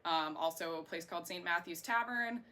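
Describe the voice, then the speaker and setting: Bright-voiced American woman in her thirties. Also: lowish voice, normal speed. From Florida, United States. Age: 30s. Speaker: interviewee, singer and burlesque performer. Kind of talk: casual interview answers. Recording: clear background noise.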